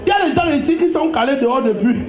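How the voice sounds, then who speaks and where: A man from Cameroon with a Cameroonian French accent, doing animated live stand-up and shouting his punchlines. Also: very high voice, fast, soft background music. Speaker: stand-up comedian. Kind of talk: live stand-up comedy with crowd interaction. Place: live show venue with audience. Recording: roomy live venue with crowd noise. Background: audience laughter.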